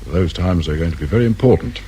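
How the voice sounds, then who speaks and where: An actor with a British accent playing a shrewd, dry, irritable detective inspector in a radio crime drama. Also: male voice, sits low, lively intonation, fast, dark tone, slight background noise. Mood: probing, measured. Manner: probing, brusque, sardonic.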